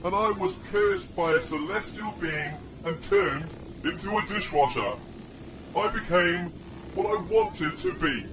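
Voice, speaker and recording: An actor playing a weary, solemn man cursed to be a dishwasher, confessing haltingly in an audio drama. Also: sits high, noisy background. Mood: weary, confessional.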